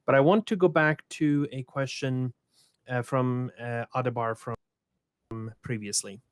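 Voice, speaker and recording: A man's voice: mid-range voice, fairly even intonation, a little slow, smooth, no room sound, studio quality. A man from Sweden moderating a conference panel, speaking thoughtfully with many restarts and repeated words.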